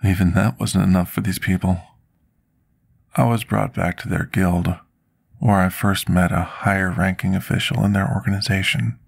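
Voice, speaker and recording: A man playing a scarred, remorseful potion master in an intimate ASMR roleplay, speaking formally and deliberately like someone confessing his past. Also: sits low, little pitch movement, gravelly, slightly rough, no echo, full character voice. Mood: sorrowful, confessional. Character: scarred male potion master, devoted, remorseful, soft-spoken servant.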